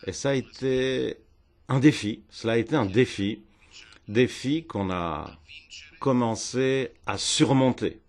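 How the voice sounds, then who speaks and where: A man interpreting a political speech live in a parliament hemicycle, phrasing in halting bursts and repeating words as he catches up. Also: medium pitch. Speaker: simultaneous interpreter.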